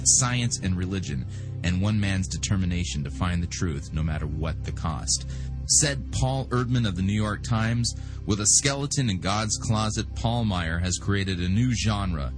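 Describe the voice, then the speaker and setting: A man's voice: on the low side, rough recording. An American man reading a scripted promotional narration as an announcer, with polished, dramatic delivery. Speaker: advertisement announcer. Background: guitar music.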